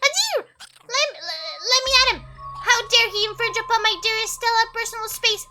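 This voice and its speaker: A woman's voice: extremely high pitch, normal speed, music in the background, pitched above the performer's own voice. A woman voicing a small, feisty female dog with a theatrical, pompous, ladylike manner in a video game. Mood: blind fury. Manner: pompous, theatrical, genteel wording.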